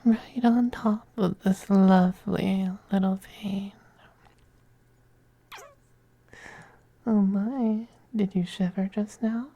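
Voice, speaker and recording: Possessive femboy vampire noble played by an actor, ASMR intimacy: very mellow, slow, breathy whispers, tender yet domineering. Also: medium pitch, steady tone, dry recording. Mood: seductive, teasing. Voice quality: breathy, soft, often whispering.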